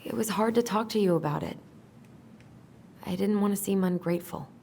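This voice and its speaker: An actress playing a gentle young woman in a video game, a sister who speaks earnestly and tries to keep the peace. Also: medium pitch, fairly quick, creaky, slight background noise, close to an everyday voice. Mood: conciliatory. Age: young adult. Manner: conciliatory, earnest. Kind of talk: naturalistic video game acting.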